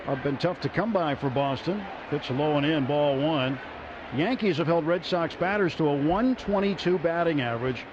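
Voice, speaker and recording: An American man doing live radio play-by-play commentary of a baseball game. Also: fairly high pitch. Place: stadium broadcast booth. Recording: dull radio broadcast, loud stadium crowd, noisy. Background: loud crowd and stadium noise.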